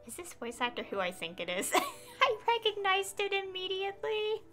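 A woman's voice: very high-pitched, sing-song, normal speed, sharp tone. A woman doing casual live gameplay commentary, thinking aloud in a self-amused way. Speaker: game streamer. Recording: faint game music behind.

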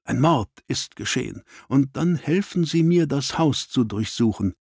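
A man voicing a stern gentleman detective in an audiobook, terse and commanding. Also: mid-range voice, expressive, unhurried, vocal fry, husky, no echo, studio quality, exaggerated voice. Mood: quiet, grave.

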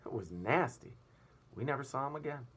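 A man's voice: medium pitch, animated, a little fast, fairly bright tone, a little hiss or hum, pitched above the performer's own voice, lightly acted. A man voicing a decent, wronged female spider from a storybook, plaintive and earnest.

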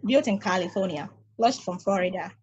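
A woman's voice: medium pitch, brisk, a little mellow, muffled recording, natural voice. A woman reading a grumpy, cynical space probe in a table read over a video call, talking in a blunt, dismissive way.

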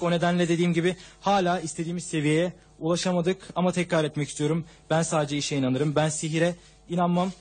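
A man interpreting a football coach's long answer at a press conference, talking steadily through a table microphone. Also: fairly high pitch.

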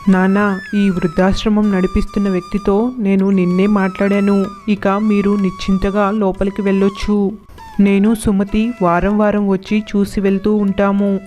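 Woman as an adult son, dismissive, evasive, monotone, dark mellow timbre, storybook dialogue. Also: medium pitch, moderate pace, faint music behind, natural voice.

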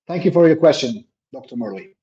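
A man with a somewhat high voice but a warm, mellow tone fields questions at a webinar. He hesitates, restarts and repeats words as he works his way through each answer. The call audio is dull and lo-fi. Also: animated, brisk. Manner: says his own voice is a little deep. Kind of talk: live webinar Q&A. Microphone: laptop mic on a video call.

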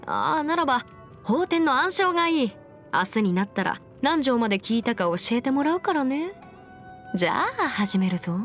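A woman's voice: high voice, sing-song, moderate pace, a bit bright, soft background music. A woman voicing a confident, bookish young female legal expert in a video game, speaking in a self-assured, formal, lecturing way. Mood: composed, self-assured. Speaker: video game character voice actor. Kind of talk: scripted anime-style game character monologue.

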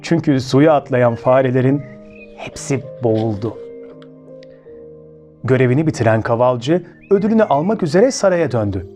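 Male children's storytelling host, Turkish accent, mellow and slightly high, highly expressive, with music underneath. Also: normal speed, pauses often. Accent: Turkey Turkish. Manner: expressive, addresses listeners as friends. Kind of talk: children's storytelling with direct questions to listeners.

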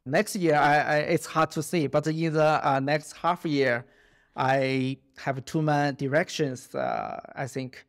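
A man in his thirties whose first language is Chinese, answering interview questions on a video call, halting with many fillers and restarts. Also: a little high, steady tone. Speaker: guest researcher. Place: home office.

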